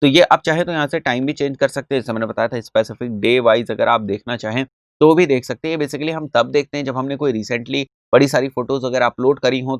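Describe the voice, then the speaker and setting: A man's voice: medium pitch, dry recording. Dry-sounding Indian man teaching a tutorial. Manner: didactic, often checks with tags like "okay" and "right"; mixes English terms and phrases into speech. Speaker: digital marketing instructor. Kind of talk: explanatory tutorial, conversational.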